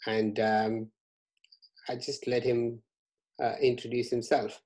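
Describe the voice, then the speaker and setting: Middle-aged male interviewer, calm and measured, speaking over a video call. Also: mid-range voice. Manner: measured, a little halting, repeats words. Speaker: interviewer, university staff. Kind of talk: interviewing a student. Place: small room at home, indoors. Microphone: video call webcam.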